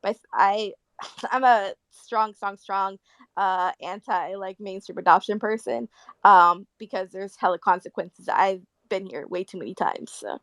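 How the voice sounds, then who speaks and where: A woman's voice: mid-range voice, a little slow, no echo. A woman talks casually as a panelist in an online discussion. Her sound is dry with little room in it, and she circles and repeats words as she works out her phrasing. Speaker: panel speaker.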